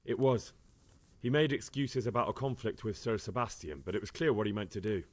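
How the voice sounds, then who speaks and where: A man's voice: lowish voice, fairly quick, a little mellow, close to an everyday voice. An actor playing a steadfast young medieval man-at-arms in a video game, speaking directly and confidently. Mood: matter-of-fact. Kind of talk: dramatic video game acting.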